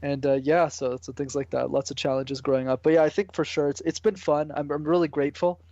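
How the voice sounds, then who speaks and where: A man from Canada answering interview questions casually and relaxed, using mild slang. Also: on the high side, brisk, dry recording, lo-fi sound. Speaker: guest, public speaking coach.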